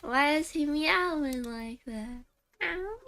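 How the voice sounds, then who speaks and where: High-pitched cutesy woman streamer, unhurried. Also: slight background noise. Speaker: livestream host, game streamer. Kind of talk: casual livestream chat. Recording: background music under voice. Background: music.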